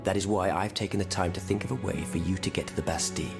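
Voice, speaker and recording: An actor playing a solemn, persuasive revolutionary in a video game, measured and formal. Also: male voice, deep voice, steady tone, gravelly. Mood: discreet, persuasive. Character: grave, solemn French revolutionary leader, discreet and persuasive. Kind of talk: video game cutscene acting. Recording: game music behind.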